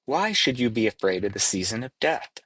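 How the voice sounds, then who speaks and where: A man reading as a wise, husky, matter-of-fact leaf. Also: on the low side, big pitch swings, moderate pace, fairly bright tone, exaggerated voice. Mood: calm, reassuring. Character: wise, calm, reassuring male tree leaf. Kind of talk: storybook read-aloud.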